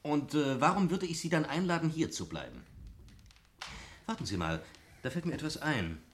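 A man's voice: on the high side, big pitch swings, normal speed. An actor playing a cornered, indignant suspect in a 1960s radio drama, blustering and stammering through his protests. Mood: defensive, protesting. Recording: faintly noisy 1960s radio recording.

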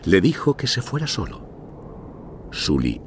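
A man narrating a children's story in an expressive, theatrical scripted style. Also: sits low, slow pace, a little hiss or hum.

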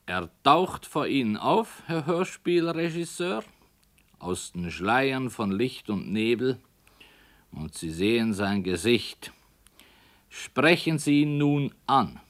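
A slow, deliberate author. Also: male voice, mid-range voice, animated. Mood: measured. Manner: measured storytelling, soft and deliberate. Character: calm, unyielding male radio play author. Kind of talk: radio play acting.

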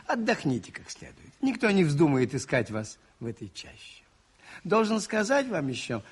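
Shrewd, husky, animated priest detective. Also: male voice, fairly high pitch. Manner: musing, ironic, expressive and conversational. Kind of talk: Soviet radio play acting.

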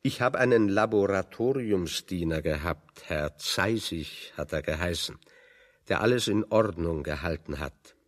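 An ironic pedantic scientist, radio drama, very swoopy intonation, echoing hall sound. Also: male voice, deep voice, lively intonation, unhurried. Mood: wry, anecdotal. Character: wry, pedantic intellectual exile, a man of science. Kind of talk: German radio play dialogue.

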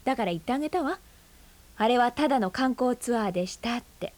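An actress playing a frank, breezy aunt who teases and speaks bluntly in a radio drama. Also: female voice, on the high side, animated, brisk, darkish tone, lightly acted. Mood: bantering. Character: blunt, teasing independent aunt.

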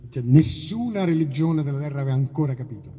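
Mellow-voiced man chatting from stage. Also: medium pitch, expressive, quick pace, husky. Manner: self-deprecating, joking, absurdist storytelling. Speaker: musician, performer. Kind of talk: casual rambling stage banter between songs. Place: outdoor festival stage in a park.

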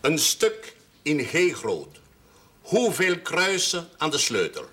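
Stern, pompous old pastor. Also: male voice, on the high side, wildly swooping pitch, pushed and bright, exaggerated voice. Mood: lecturing, exasperated. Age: older. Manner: formal, pompous, measured and admonishing. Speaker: actor in a television drama series. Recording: faint background noise, old television audio.

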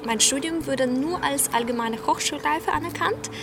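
A woman's voice: sits high, normal speed, noisy background. A young woman from Ukraine with a Ukrainian accent, a native Ukrainian speaker, earnestly telling an interviewer about her job search. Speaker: job seeker at a graduate fair. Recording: busy fair with loud crowd and music.

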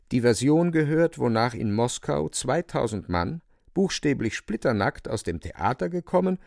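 An actor narrating a satirical story for a radio play in an ironic, theatrical, emphatic manner. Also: male voice, medium pitch, vocal fry, a little mellow, dry recording, clean studio sound. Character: wry, theatrical male storyteller of satirical novel.

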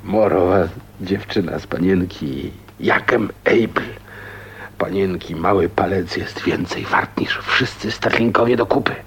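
A man's voice: sits low, measured pace. An actor in a radio play voicing a blunt, kind-hearted older working man with folksy, hearty speech. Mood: fond farewell. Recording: dull, noisy radio drama recording.

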